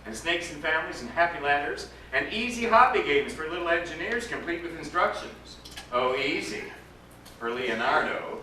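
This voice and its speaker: A man, an actor, giving an expressive, dramatic reading of a literary story aloud. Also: fairly high pitch, normal speed. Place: indoor live reading to a gathering. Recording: echoey room, distant mic, background noise.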